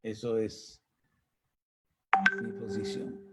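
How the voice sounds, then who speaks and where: A man with an American accent, a native English speaker, teaching in a live online talk and answering questions, pausing to search for words. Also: very noisy. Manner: slow, searching for Spanish words with pauses. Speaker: spiritual teacher.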